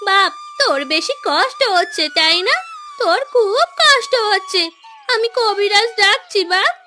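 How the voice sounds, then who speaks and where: A woman's voice: extremely high pitch, animated, a little slow, pushed and bright, soft background music, full character voice. A woman voicing an anxious village mother in a fairy-tale cartoon, fretful and emotional about her son. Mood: distraught.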